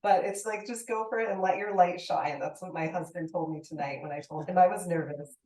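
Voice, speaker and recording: A woman's voice: on the low side, a little fast, darkish tone. Woman, panelist, modest, encouraging, distant mic, echoey. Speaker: panelist, first-time writer. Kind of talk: panel discussion answer. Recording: roomy echo, distant mic.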